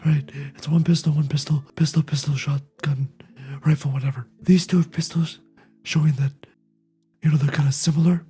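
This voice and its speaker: A host with a raspy, quiet, fragile voice, talking through a game scene in a soft near-whisper with frequent "right?" asides. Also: medium pitch. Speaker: video essay host reacting to a game scene. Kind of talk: casual spoken analysis.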